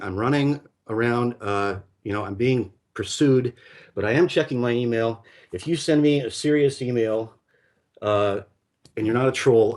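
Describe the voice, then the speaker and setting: Rambling man telling a long story. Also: mid-range voice. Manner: rambling, full of fillers and restarts. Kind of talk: conversational storytelling.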